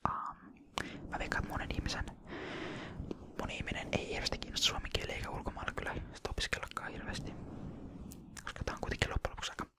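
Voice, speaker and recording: An ASMR YouTuber from Finland whispering close to the microphone while casually rambling through viewer comments. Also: long pauses. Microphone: close ASMR microphone, bumped at times.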